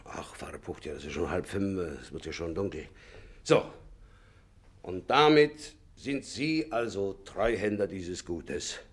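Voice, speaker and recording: An actor playing a hurried, businesslike wartime official in a 1950s German radio play, clipped and perfunctory. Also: male voice, deep voice, lively intonation. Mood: brisk, formal. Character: hurried, brisk Nazi-era official handing over an estate. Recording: faint noise, 1950s radio recording.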